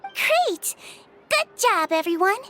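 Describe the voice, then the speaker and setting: A woman voicing a small, gentle female nurse in a video game, polite and measured, with a girlish, childlike voice. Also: very high voice, sing-song, slight background noise, full character voice. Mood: cheerful, reassuring.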